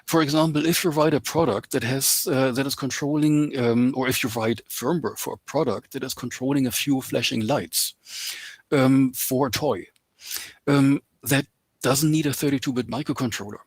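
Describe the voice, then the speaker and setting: A man giving a guest lecture over a video call, speaking English as a second language, filling pauses with lots of ums. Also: mid-range voice, slightly rough, no echo. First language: not English. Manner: hesitant, many ums and uhs. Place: home in Canada.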